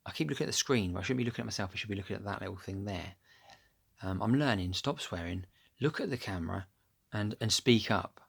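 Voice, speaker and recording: A man with a deep voice chatting casually to his phone camera at home, rambling and losing his thread with plenty of ums. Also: quick pace, vocal fry, husky. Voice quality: low, deep voice. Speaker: vlogger. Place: at home, upstairs room. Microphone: phone camera held close.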